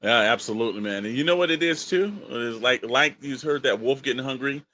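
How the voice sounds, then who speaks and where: A man's voice: medium pitch, fairly quick, sharp tone, no echo. A man with a mid-range voice co-hosts a show in loose, casual talk. He is colloquial and emphatic, speaking straight to the listeners. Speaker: podcast co-host. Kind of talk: casual chat.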